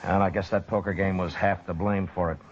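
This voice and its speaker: Low-voiced, weary frontier marshal. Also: male voice, flat delivery, a little fast. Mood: glum. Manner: terse, grave, plain-spoken. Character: stern, weary frontier marshal in the Old West. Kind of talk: old-time radio western acting. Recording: dull, noisy old radio broadcast recording.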